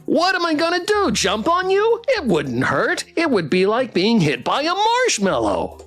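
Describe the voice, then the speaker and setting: A woman voicing a smug, indignant little wellness plush toy in a visual novel, boasting like a sales pitch. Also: huge pitch swings, measured pace, faint music behind, full character voice. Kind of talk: visual novel character voice read aloud.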